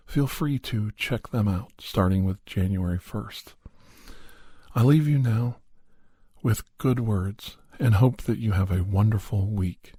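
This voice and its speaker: A man with a soft, husky voice telling a story in a gentle, measured ASMR style as a podcast host. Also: lowish voice, no room sound.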